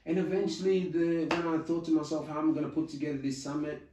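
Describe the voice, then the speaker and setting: A man's voice: fairly high pitch, steady tone, fast, dark tone, reverberant, recorded from a distance, a little hiss or hum, poor audio. A man guest in a casual interview, who tells his story with energy and acts out his own past thoughts, often adding "you know". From Australia. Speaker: podcast guest, community founder.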